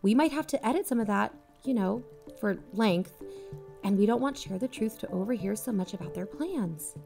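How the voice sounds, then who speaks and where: A woman's voice: mid-range voice, animated, moderate pace, vocal fry, over music. A woman playing an earnest podcast investigator in an audio drama, questioning and keeping to the point. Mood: impatient.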